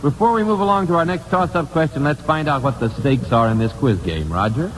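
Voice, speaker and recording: An American man hosting a live 1950s radio quiz, putting questions deliberately and repeating key phrases for emphasis on an old broadcast recording. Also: a little high, animated. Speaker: quiz show host. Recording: old radio broadcast, hall reverb, hiss.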